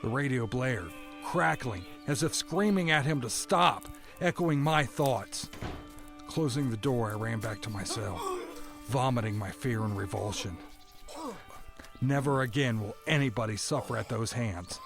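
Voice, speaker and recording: A man playing a haunted escaped convict who narrates his past solemnly in an audio drama, in measured literary phrases. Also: medium pitch, lively intonation, creaky, music in the background. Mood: anguished, vehement. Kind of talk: first-person dramatic monologue in audio drama.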